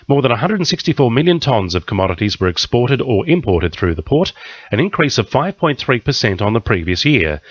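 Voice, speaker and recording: A man's voice: mid-range voice, dry recording, dull audio. A man with an Australian accent reading a scripted radio news bulletin. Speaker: news reader.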